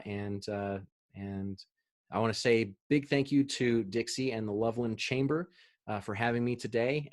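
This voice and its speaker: A man presenting a marketing webinar over a video call, chatty, with fillers and easy laughs. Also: on the low side.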